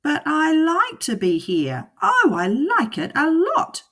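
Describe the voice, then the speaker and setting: A woman voicing a playful, boastful male cat showman from a storybook, excited and coaxing. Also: sits high, slow pace, voice pushed up, heavily acted. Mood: defiant, cheerful.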